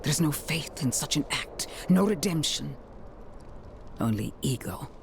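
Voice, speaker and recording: A grave, righteous leader of holy knights in a game cinematic. He speaks very slowly and solemnly in a slightly high male voice, like a preacher, over faint ambient noise. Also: expressive, vocal fry. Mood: grave, indignant. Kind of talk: dramatic game cinematic acting.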